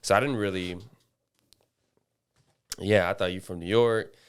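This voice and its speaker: A man's voice: deep voice, fairly even intonation, unhurried, smooth. A man in his twenties hosting a casual podcast, talking in a rambling way with many restarts. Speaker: podcast host. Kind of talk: casual chat.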